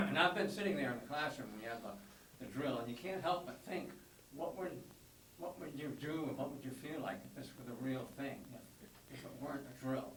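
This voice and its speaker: An older man, a volunteer tutor, speaking in a measured way at a school board meeting and clearing his throat often. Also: mid-range voice, lively intonation, fairly bright tone, recorded from a distance. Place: meeting room. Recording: echoey room, voice from afar, faint hum.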